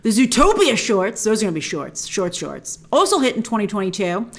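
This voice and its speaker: A woman hosting an entertainment reaction show, giving excitable, emphatic, chatty and informal commentary. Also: mid-range voice, fairly quick.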